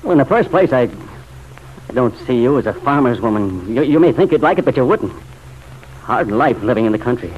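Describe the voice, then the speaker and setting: An actor playing a torn, stubborn farmer in a vintage radio drama, plain-spoken, hesitant and guarded. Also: male voice, mid-range voice, lively intonation, normal speed, close to an everyday voice. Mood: reluctant. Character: torn, stubborn farmer still pining for his wife. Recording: dull, noisy old radio recording.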